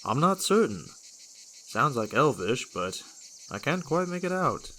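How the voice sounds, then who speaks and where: A man's voice: medium pitch, very animated, unhurried, sharp tone, slight background noise, poor audio. A man playing an earnest, sensible archer hero who is a little bumbling with words, in a fantasy audio drama.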